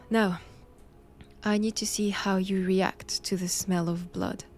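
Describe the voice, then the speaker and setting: A woman with a French accent playing a cold, haughty nun in an intimate roleplay audio drama, speaking deliberately and often dropping toward a whisper. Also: mid-range voice, darkish tone. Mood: disdainful. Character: cold, imperious nun examining a captive vampire.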